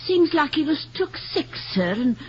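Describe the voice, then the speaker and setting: Casting: a high-pitched, plain-spoken, eager housemaid in radio comedy; dull recording, heavy hiss. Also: female voice, big pitch swings, normal speed. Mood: eager, deferential. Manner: deferential, folksy, ungrammatical. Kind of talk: old-time radio comedy acting. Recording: muffled, very hissy old radio recording.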